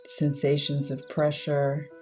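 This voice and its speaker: Low-pitched woman guiding a slow meditation. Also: completely flat delivery, warm tone, soft background music. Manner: unhurried, measured short phrases with pauses. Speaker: meditation guide. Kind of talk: guided meditation, slow soothing instruction.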